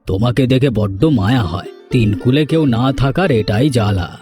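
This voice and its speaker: A man's voice: mid-range voice, expressive, brisk, darkish tone, faint music behind, lightly acted. A man voicing a concerned, chatty village husband in a fairy tale cartoon, speaking in an exclamatory way.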